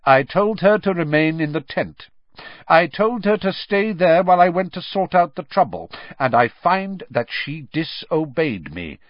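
A man's voice: on the high side, lively intonation, measured pace, no room sound, dull audio, pitched above the performer's own voice. Give me a man voicing an icy official who barks his words with cold anger. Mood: curt stern. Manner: clipped, icy, barking. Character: cold, stern senior official, middle-aged man.

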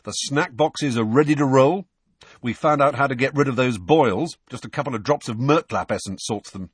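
A man's voice: medium pitch, moderate pace, breathy edge, dry recording, pitched above the performer's own voice, lightly acted. A man voicing a cheeky, restless teenage boy prankster in an audiobook, breezy and blunt.

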